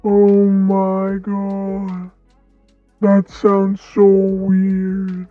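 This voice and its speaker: A muffled underwater voice, a woman through a voice changer, dragging and very monotone. The sound is narrow and phone-like. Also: medium pitch, drawn-out words, dry recording, faint music behind, pitched below the performer's own voice. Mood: amazed. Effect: underwater voice changer filter.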